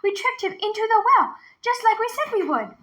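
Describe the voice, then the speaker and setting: Lady putting on a bold little village girl for a storybook, super high n bright, all eager. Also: very animated, moderate pace, heavily acted. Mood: proud, defensive.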